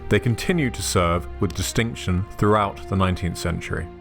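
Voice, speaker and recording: Low-voiced male documentary narrator. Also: creaky. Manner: measured, even documentary delivery. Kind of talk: scripted narration. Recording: soft music underneath.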